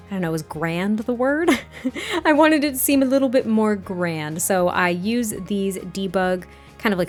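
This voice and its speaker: A woman with a slightly raspy voice chatting casually in a voice-over about her building, with fillers and a rambling, friendly manner. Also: on the low side. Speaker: game builder narrating a speed build. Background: soft background music.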